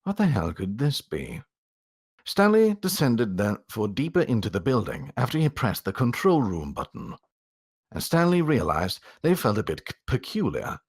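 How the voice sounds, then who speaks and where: A man reading a story aloud as a voice actor, with a theatrical, expressive storytelling delivery. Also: medium pitch, normal speed, slightly rough. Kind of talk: dramatic storytelling narration.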